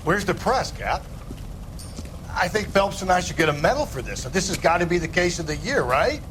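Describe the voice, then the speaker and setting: A man's voice: sits high, very animated, normal speed, background noise. A man playing a brash, cynical, foul-mouthed police detective in a 1940s crime video game, talking in blunt slang. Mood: smug.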